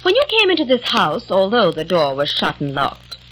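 A woman in a 1940s radio drama playing a sharp, suspicious young eighteenth-century lady who speaks in an accusing, clipped way. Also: mid-range voice, wildly swooping pitch, moderate pace, slight background noise, poor audio.

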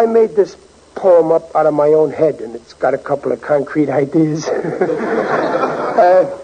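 A man playing a bashful, folksy working-class father and amateur poet in a 1940s radio comedy. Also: a little high. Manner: hesitant, folksy, chuckling at himself. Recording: dull, slightly noisy 1940s radio recording.

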